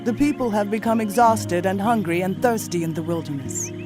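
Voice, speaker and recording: A man's voice: high voice, a little fast, music in the background, natural voice. An actor, a man, playing one of the ancient Israelite common folk in a dramatized audio Bible. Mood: concerned. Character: high-voiced speaker among ancient Israelite common folk.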